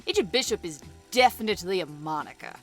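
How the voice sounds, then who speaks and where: A woman's voice: on the low side, lively intonation, quick pace, fairly bright tone, soft background music. A woman acting in a comic audio drama as an earnest, quirky agent dictating mock-serious reports. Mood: deadpan earnest.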